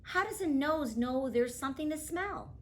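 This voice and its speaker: A woman's voice: high-pitched, lively intonation, moderate pace, pitched above the performer's own voice. A woman voicing a curious little girl full of questions, in an eager, questioning lilt, reading a storybook aloud.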